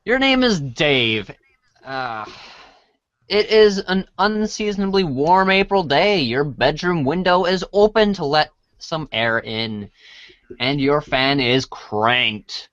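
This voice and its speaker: Slow-paced reader, stumbling through dry narration. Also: medium pitch, animated, fairly bright tone, no room sound, muffled recording. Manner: stumbles over lines and apologizes. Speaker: reader of a read-along storybook show. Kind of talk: read-aloud scripted narration of a comic.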